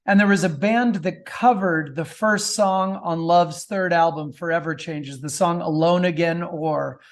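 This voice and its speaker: A middle-aged man with a notably high voice talks in a casual interview. He is an enthusiastic, chatty storyteller who speaks as a guest. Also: a little slow. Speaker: author and film producer, podcast guest. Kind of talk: casual interview talk about music.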